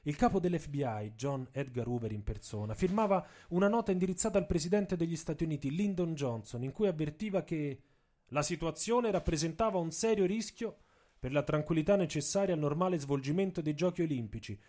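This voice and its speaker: A man's voice: fairly high pitch, expressive, fairly quick, mellow. A man narrating radio theatre as a dramatic scripted story, with a theatrical, intense delivery.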